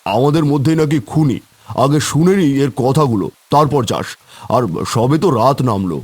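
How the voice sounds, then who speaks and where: A man's voice: mid-range voice, expressive, normal speed, darkish tone, breathy edge, no room sound. A man voicing a plain-spoken, chiding villager in a horror cartoon. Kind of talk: cartoon dialogue.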